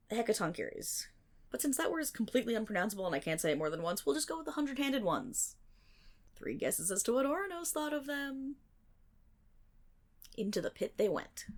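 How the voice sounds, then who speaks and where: A playful, nerdy female best friend acted by a woman rambles through an ASMR roleplay. Her voice sits fairly high and swings widely in pitch, with a clear creak. She is chatty and theatrical, interrupting herself with mock-exasperated asides. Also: heavily acted. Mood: wry storytelling. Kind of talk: ASMR roleplay, casual storytelling monologue.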